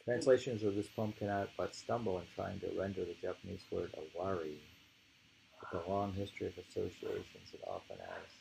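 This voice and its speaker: A man with a very low, creaky voice reads aloud and comments informally. He is halting and musing, often pausing. The recording has some ambient room noise. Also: animated, mellow, rough recording. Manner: halting, musing, with pauses and hums. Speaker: reader and discussion host.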